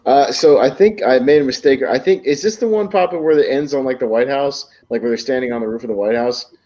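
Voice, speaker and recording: A man's voice: mid-range voice, fairly quick, warm tone. A man chatting casually as a panelist, blunt and informal with a chuckle. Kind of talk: casual opinionated chat. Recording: dull lo-fi mic sound.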